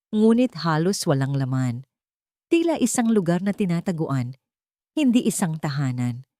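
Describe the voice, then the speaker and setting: Low, mellow Filipino woman storyteller. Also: no echo, studio quality. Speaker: narrator. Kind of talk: scripted dramatic story narration.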